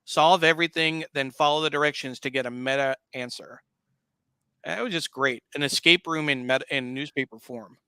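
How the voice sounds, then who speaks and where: A man's voice: medium pitch, moderate pace, pushed and bright, clear, resonant tone, dry recording. A man acting as guest host, asking questions in a conversational interview style.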